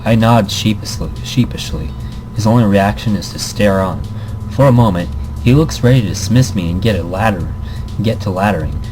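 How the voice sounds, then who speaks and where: A man's voice: lowish voice, normal speed, music in the background, low-quality recording. A man hosting a casual let's play, reading visual novel text aloud with commentary and often stumbling and repeating words. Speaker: gaming video host.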